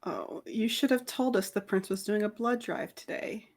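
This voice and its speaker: A woman's voice: mid-range voice, fairly even intonation, normal speed, a little mellow. A woman playing a tabletop roleplay game, casually saying what her character does and says.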